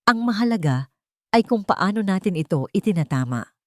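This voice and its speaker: A woman's voice: medium pitch, expressive, normal speed, no room sound, studio quality, pitched above the performer's own voice, natural voice. A woman voicing a resilient young mother and survivor who speaks steadily and earnestly in an audio drama. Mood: gentle, humble.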